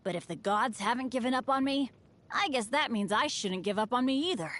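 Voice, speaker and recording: A woman's voice: fairly high pitch, animated, a little fast, fairly bright tone. A woman voicing an upbeat but unlucky teenage boy adventurer in a video game, with an earnest, chatty, boyish delivery. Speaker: game character voice actor. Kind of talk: scripted game character voice lines.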